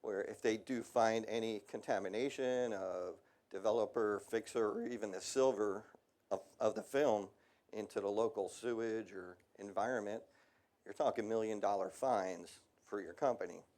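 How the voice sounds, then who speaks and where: A middle-aged man, lowish in pitch, presenting at an industrial seminar. He talks casually and conversationally, padding thoughts with ums and asides. His voice sounds slightly worn. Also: normal speed, darkish tone. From United States. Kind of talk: technical seminar talk.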